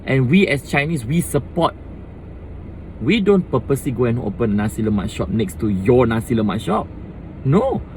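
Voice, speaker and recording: A man's voice: mid-range voice, big pitch swings, normal speed, a little mellow, a little hiss or hum, poor audio. A young Malaysian man with a Malaysian accent, talking to the camera in a fired-up casual rant and mixing in Malay words. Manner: impassioned, switching between English and Malay. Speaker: vlogger.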